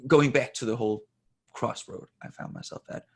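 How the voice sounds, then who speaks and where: A man in his forties from Denmark, a native Danish speaker, talking candidly in an interview in a halting, self-correcting way with a husky, gravelly voice. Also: medium pitch, fairly even intonation. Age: 40s. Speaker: interview guest.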